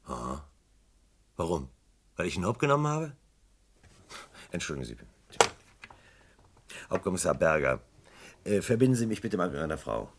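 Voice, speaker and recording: An actor playing a gruff, middle-aged police chief inspector in a German radio drama, brusque and grumbling. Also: male voice, deep voice, very animated, normal speed, pauses often, a little hiss or hum. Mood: brusque, irritated.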